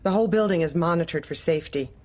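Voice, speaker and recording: Woman acting manipulative female boss: low-voiced, officious, falsely polite, dark-comedy audio drama. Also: very fast, lightly acted. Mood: brisk, detached. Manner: clipped, officious, controlled.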